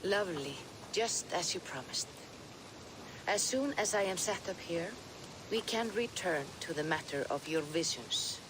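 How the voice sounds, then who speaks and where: An actress playing a calm, mystical seer in a video game cutscene, speaking in a measured, solemn way over noisy game audio. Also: female voice, lowish voice. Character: calm mystical female seer, middle-aged.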